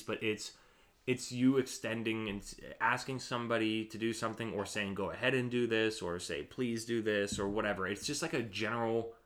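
Opinionated man with a bright, forceful voice. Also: on the low side. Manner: opinionated, emphatic, swears freely. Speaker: podcast host. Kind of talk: casual podcast chat.

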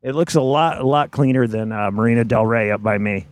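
A man's voice: mid-range voice, brisk, no room sound. A man from Indiana hosting a podcast, chatting casually and teasing his guest while riding in a car. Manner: laughs often, teasing. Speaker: podcast host. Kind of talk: casual chat. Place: inside a moving car.

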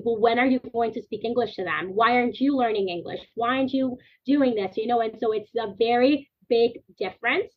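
A woman in her thirties, born in the Dominican Republic, speaking earnestly as a podcast guest in long, flowing stretches of thought. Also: a little high. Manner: earnest, animated, talks in long chains of clauses. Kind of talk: podcast interview. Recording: tinny phone-like line.

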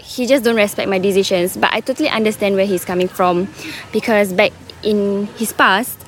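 A young woman from Singapore telling a personal story to a live audience, candid and halting, with many fillers and restarts. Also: medium pitch, slight background noise. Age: young adult. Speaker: student storyteller. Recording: faint room ambience behind a live talk.

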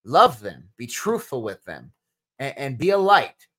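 Man, new jersey accent, podcast host, casual live q&a, energetic, mid-pitched voice. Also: measured pace. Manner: casual, energetic, answers chat questions with restarts.

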